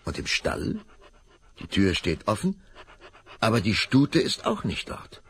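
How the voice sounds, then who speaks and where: A man's voice: lowish voice, huge pitch swings, a little slow, creaky, slight background noise. A man narrating a children's radio play, telling the story vividly and dramatically.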